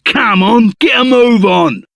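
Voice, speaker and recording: An actor playing a loyal, excitable reformed bandit sidekick in a video game, with a Cockney accent and blunt, slangy speech. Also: male voice, very high voice, slow pace, no room sound, studio quality, exaggerated voice. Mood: excited, badgering. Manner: rough colloquial slang, blunt and emphatic. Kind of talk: video game character voice acting.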